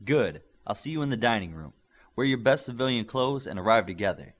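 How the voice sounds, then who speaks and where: A man reading the part of a confident male coach who leads a young team, in a calm, assured voice. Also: medium pitch, brisk, lightly acted.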